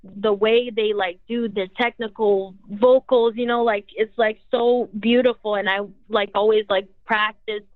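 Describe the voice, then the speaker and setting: A woman's voice: medium pitch, steady tone, measured pace, no echo. Woman singer with tinny, phone-like audio. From Brazil. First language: Portuguese. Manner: rambling, heavy use of "like". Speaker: singer, guest. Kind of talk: interview answers.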